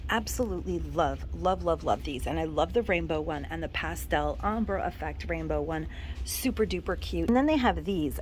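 A woman's voice: fairly low pitch, a little hiss or hum. A woman in her forties, chatting enthusiastically as she films herself shopping in a store on her phone. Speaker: shopping vlogger. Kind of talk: casual shop-with-me narration. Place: inside a discount store, walking the aisles. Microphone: handheld phone camera.

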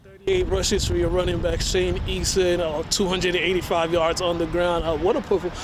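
A man with a distinctly high-pitched voice conducts a casual postgame sports interview. He is outdoors, and ambient noise is clearly audible in the recording. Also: level tone, normal speed. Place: outdoor football stadium at night.

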